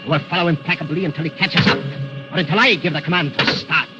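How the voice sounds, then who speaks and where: An actor playing a gloating, theatrical mad inventor villain in a vintage radio drama, sneering and cackling. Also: male voice, fairly high pitch, fast, lo-fi sound, loud music behind the voice, lightly acted. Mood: menacing. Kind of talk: 1940s radio acting. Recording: scratchy old radio broadcast.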